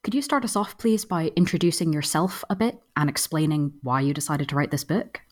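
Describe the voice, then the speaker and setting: Low-voiced woman podcast host. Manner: measured, polite, frequent ums. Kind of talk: podcast interview.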